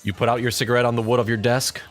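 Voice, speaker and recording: A man's voice: mid-range voice, level tone, rapid-fire. A man reading scripted second-person story narration as the narrator of an audio drama. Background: background music.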